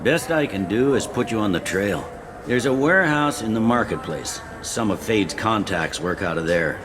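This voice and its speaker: A man's voice: fairly low pitch, expressive, moderate pace, noisy background, natural voice. A man voicing a wry security officer in a video game. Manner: dry, wry.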